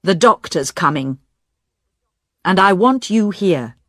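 A woman voicing a grown son who is tense and guilt-ridden, speaking in a low, restrained way. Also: expressive, slow, no room sound, studio quality. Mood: firm.

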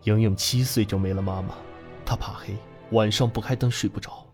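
Man voicing a brooding, low-voiced grieving farmer. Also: fairly even intonation, brisk, a little mellow, soft background music, lightly acted. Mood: sorrowful. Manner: terse, menacing, insistent. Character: grieving, brooding middle-aged farmer father turned killer.

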